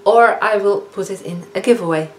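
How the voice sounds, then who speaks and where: A woman in her fifties with a Dutch accent, chatting casually as a beauty vlogger reviewing products, often pausing on fillers and correcting herself. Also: mid-range voice, darkish tone. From Netherlands. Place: living room, indoors. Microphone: camera in front of a seated speaker. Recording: echoey room with faint hiss.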